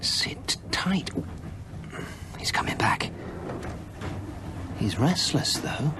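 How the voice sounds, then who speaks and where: An actor playing an anxious, hunted British gentleman in a radio drama, nervous and hesitant, often muttering his thoughts under his breath. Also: mid-range voice, very animated, drawn-out words, whispered. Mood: tense, furtive. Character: anxious, hunted gentleman steeling himself in secret. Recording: radio drama with clear background noise.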